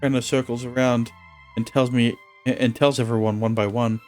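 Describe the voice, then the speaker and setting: A male streamer who reads visual novel narration aloud in a steady tone and chats casually between lines. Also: medium pitch, moderate pace, soft background music.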